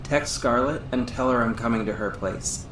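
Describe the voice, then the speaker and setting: An actor playing an earnest superhero who narrates his own story in a measured, serious audio drama style. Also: mid-range voice, a bit bright, slight background noise, natural voice. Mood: brisk, focused. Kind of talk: scripted first-person audio drama narration.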